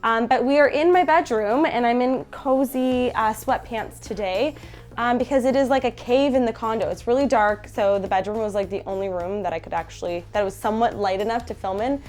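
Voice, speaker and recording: A woman's voice: on the high side, a little fast, darkish tone, soft background music. A young Canadian woman with a stuffy, nasal voice from a head cold, chatting casually in her bedroom about groceries. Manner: chatty, upbeat, many fillers. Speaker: food vlogger. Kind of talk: casual haul video chat. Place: bedroom at home.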